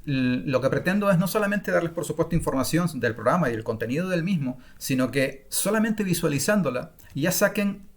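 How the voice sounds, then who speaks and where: A middle-aged man from Spain gives an unscripted online masterclass on learning languages, often pausing with fillers and restarting his sentences. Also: fairly high pitch, lively intonation. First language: Spanish. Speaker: language-learning course creator.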